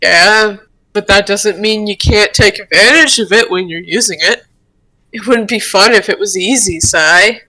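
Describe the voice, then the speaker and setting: Woman as playful male creature, forceful bright voice, casual, teasing, reassuring, roleplay drama. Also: medium pitch, measured pace, poor audio. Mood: encouraging.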